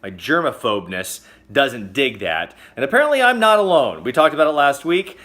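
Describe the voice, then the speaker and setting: A man hosting a casual comedic news roundup, chatty and joking, with sudden loud exclamations. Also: medium pitch, very animated, brisk. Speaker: host.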